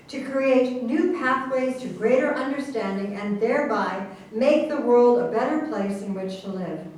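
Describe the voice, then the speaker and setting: A woman's voice: medium pitch, darkish tone, close to an everyday voice. A woman playing an imperious, self-important professor and government official in a staged satirical reading, speaking in a formal, lecturing manner. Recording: echoey room, distant mic, faint noise.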